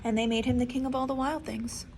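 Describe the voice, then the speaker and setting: A woman reading a picture book aloud to young listeners, theatrically, with dramatic hushes and drawn-out words. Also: high-pitched, steady tone, a little hiss or hum. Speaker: children's read-aloud storyteller.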